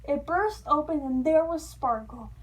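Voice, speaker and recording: A woman narrating a children's storybook aloud in an expressive, playful storytelling manner. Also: high voice, unhurried, a little hiss or hum, rough recording. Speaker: storybook reader.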